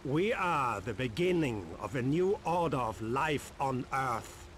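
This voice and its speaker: A man's voice: mid-range voice, lively intonation, slow, a bit bright, a little hiss or hum. An actor with a German accent playing a gloating, menacing male cult guard in a video game. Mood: threatening. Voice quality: heavy accent, theatrical menace.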